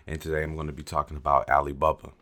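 Very deep-voiced sick-sounding man host. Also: completely flat delivery, racing, pushed and bright. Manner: conversational, frequent "you know" asides. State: slightly sick, a bit raspy. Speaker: podcast host. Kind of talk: casual solo explainer podcast.

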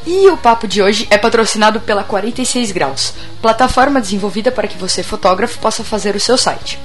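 A woman co-hosting a casual talk show, hoarse this week, chatting loosely and laughing often. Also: medium pitch, fast talker, faint music behind. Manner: laughs often, relaxed banter. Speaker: podcast co-host. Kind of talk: casual chat and sponsor read.

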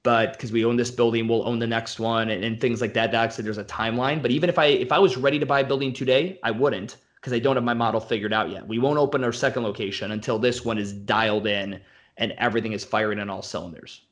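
A gym owner who talks in blunt, profane bursts, piling one example on the next. His voice sits at an ordinary middle pitch. It is relaxed, unscripted podcast talk. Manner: blunt, profane, fast-flowing rants. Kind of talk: casual podcast conversation.

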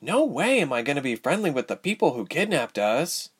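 A man's voice: a little high, big pitch swings, brisk, husky, pitched above the performer's own voice. A man voicing a tough, guarded young woman held hostage in an audiobook story. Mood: wry, guarded.

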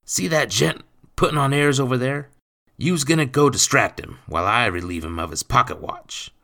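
A man voicing a rough, bullying, thieving stepfather in an audiobook, gruff and coarse in his grammar. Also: mid-range voice, lively intonation, normal speed, bright, forceful tone, voice pushed up. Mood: scheming, barking. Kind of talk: audiobook character voice.

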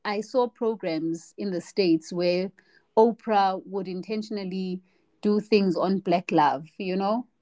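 A woman's voice: medium pitch, mellow. A young woman from Africa, co-hosting a casual podcast chat, talking reflectively and searching for words with frequent restarts. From South Africa. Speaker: podcast co-host.

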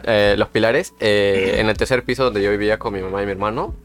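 A man's voice: lowish voice, steady tone, brisk, faint music behind. A young man telling a personal story in a casual podcast chat, hesitant and full of fillers. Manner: hesitant, rambling with many fillers. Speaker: podcast co-host.

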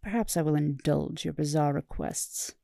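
Woman voicing a deadpan, sneering robot, low and gravelly, slow drawl. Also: dry recording, studio quality. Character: sulky, sneering male robot jet.

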